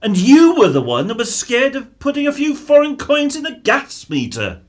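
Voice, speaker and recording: An actor as a sarcastic British husband, high-pitched. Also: male voice, huge pitch swings, normal speed, exaggerated voice. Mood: suspicious. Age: middle-aged. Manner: sneering, mock-salesman sarcasm. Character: suspicious, sarcastic, hot-tempered working-class British husband and father. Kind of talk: radio drama acting.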